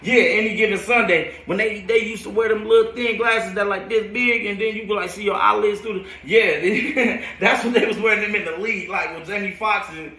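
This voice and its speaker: An American man who coaches football, telling stories casually and in an animated, slangy, rambling way on a live stream. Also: high voice, fairly quick, hardly any pauses. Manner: animated, slangy, laughs often. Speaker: football coach hosting a storytelling show. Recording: roomy echo, distant from the mic.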